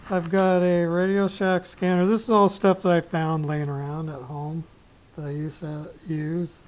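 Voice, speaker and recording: A middle-aged hobbyist talks through a casual demo at an unhurried, halting pace. The tone stays flat as the talk rambles with frequent pauses. It is picked up on a small camera clipped to a shirt, with some noise behind it. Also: mid-range voice. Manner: rambling, hesitant, pausing often mid-sentence. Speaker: amateur radio hobbyist giving a gear demo. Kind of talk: casual unscripted demonstration. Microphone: small camera pinned to t-shirt. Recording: noisy clip-on camera sound with clicks.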